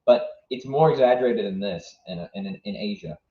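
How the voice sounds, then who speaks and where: A man's voice: on the low side, moderate pace. A young American man from southwest Virginia reacting live to a match as a stream host, rambling casually and thinking aloud as he answers chat. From southwest Virginia, United States. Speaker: livestream host. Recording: dull, echoey room sound.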